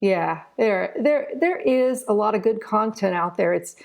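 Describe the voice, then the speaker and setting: A woman's voice: medium pitch, expressive, measured pace, gravelly, a little mellow. A woman speaking as a guest in a conversational interview, earnest and emphatic, often repeating words for stress.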